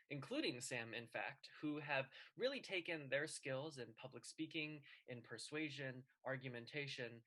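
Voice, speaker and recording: A man's voice: mid-range voice, pushed and bright, rough recording. A young man in his twenties giving an earnest class presentation over a video call, with frequent ums and uhs. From United States. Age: 20s. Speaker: student club president presenting to a class.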